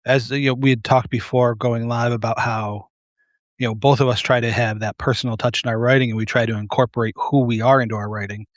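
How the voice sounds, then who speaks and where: A man's voice: medium pitch, fairly even intonation, a little fast, dry recording. Give me a man hosting a live video chat, rambling and casual, close dry sound. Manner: rambling, self-deprecating, many fillers. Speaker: host.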